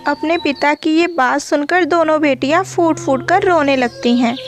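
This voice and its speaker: A woman's voice: sits high, normal speed, over music. A woman reading scripted narration for a children's cartoon story.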